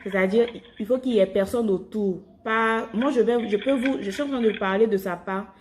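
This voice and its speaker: Woman with relaxed, dark voice evangelising. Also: on the high side, moderate pace, slight background noise. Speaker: evangelist calling strangers by phone. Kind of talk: informal personal evangelism.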